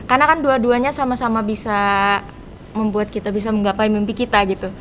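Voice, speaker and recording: A woman's voice: fairly even intonation, fast talker. A teenage young woman, giving casual answers as a student guest on a podcast. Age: teens. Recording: rumbly background noise.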